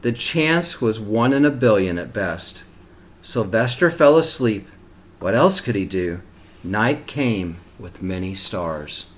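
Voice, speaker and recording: A man reading a picture book aloud to young children as a teacher, pausing for simple explanatory asides. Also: fairly low pitch, lively intonation.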